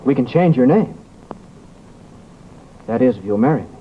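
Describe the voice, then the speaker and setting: An actor playing a confident, gallant American doctor who debunks the supernatural, in old-time drama style. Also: male voice, medium pitch, animated, normal speed, close to an everyday voice. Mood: hesitant, tender. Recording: muffled, noisy vintage broadcast recording.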